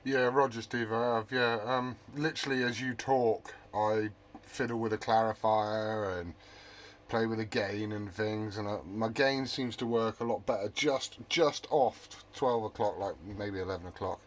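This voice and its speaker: A man's voice: on the low side, steady tone, unhurried, slight background noise. A man chatting casually as a hobby radio operator, rambling and often correcting himself mid-thought.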